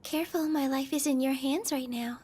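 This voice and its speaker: An actress playing a sweet-voiced, coldly manipulative young villainess in a dramatic anime dub, soft and coy with menace. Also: female voice, high-pitched, brisk, lightly acted. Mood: coy, menacing.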